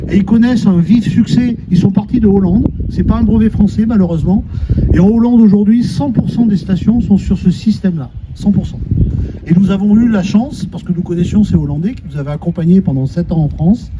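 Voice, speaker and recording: A man's voice: sits high, brisk. A man giving an informal, colloquial explanatory talk to visitors on site, simplifying technical points as he goes. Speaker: company executive presenting a water treatment plant. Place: on site at a treatment plant. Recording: dull sound, heavy ambient noise.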